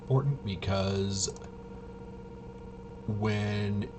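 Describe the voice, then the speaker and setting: A man hosting a casual, unscripted tabletop game walkthrough, halting and rambling as he thinks aloud. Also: on the low side, over music. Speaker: host of a tabletop RPG channel.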